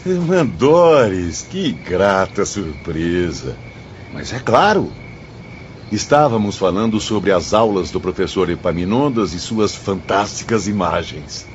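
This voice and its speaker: An actor with a Brazilian accent playing an inquisitive, courteous spirit student in a radio drama, with formal, theatrical speech. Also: male voice, sits low, big pitch swings, slow, full character voice. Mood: pleasantly surprised. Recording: dull audio, faint noise, night crickets.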